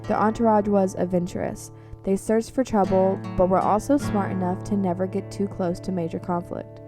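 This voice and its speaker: A woman's voice: medium pitch, mellow, recorded from a distance. A woman reading scripted story narration for a radio drama.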